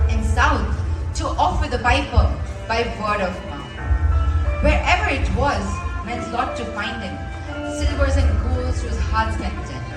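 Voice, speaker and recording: Distant young female narrator over loud music. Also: a little high, echoey room. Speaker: narrator in a school play. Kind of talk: dramatic verse recitation.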